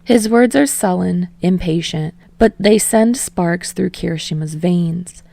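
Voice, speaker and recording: Female fiction narrator, low register, slightly gravelly, expressive scripted read. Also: a little slow, dry recording. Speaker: audiobook reader of fan fiction.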